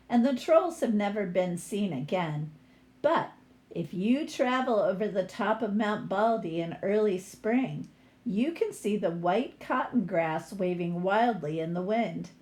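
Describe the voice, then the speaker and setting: A woman's voice: medium pitch, expressive, darkish tone. A woman reading a picture book aloud as a teacher would, with explanatory asides to young listeners. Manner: unhurried, explanatory.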